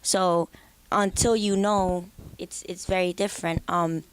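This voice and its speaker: Casting: young woman from the Dominican Republic, lowish voice, candid, reflective interview guest. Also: steady tone, measured pace, clear, resonant tone, poor audio. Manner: candid, reflective, with frequent restarts. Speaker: guest musician. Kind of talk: interview conversation.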